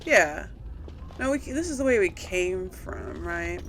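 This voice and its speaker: Woman, game streamer, unhurried pace, mid-pitched, chatty, thinking aloud, noticeable background noise with game ambience. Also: animated. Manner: chatty, thinks aloud, asks herself questions. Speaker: video game streamer and series host. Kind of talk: casual let's play commentary.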